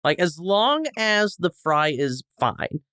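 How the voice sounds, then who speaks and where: A man's voice: fairly high pitch, sing-song, slow pace, sharp tone, clean tone, dry recording. A man as a podcast guest, chatting casually about food with dry, joking humor. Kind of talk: casual food review chat.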